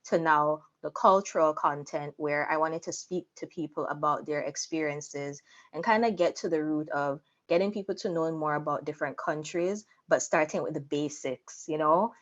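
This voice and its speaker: Low-pitched young woman, slightly muffled. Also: normal speed. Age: young adult. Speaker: interview guest, content creator. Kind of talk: personal storytelling in an interview.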